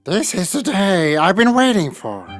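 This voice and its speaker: A woman's voice: medium pitch, sing-song, unhurried, faint music behind. A woman voicing a clever, confident male cat in a children's fairy tale cartoon, speaking persuasively and with courtly manners. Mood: urgent, bossy. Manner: persuasive, deferential to royalty.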